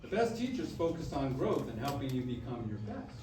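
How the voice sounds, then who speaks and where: A man's voice: mid-range voice, sing-song, a little fast, lightly acted. A man playing a blunt, wry and protective grandfather in a staged reading of a musical. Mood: frank, serious. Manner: blunt, scolding, folksy. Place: echoey room, staged reading. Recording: distant echoey stage mic, piano behind.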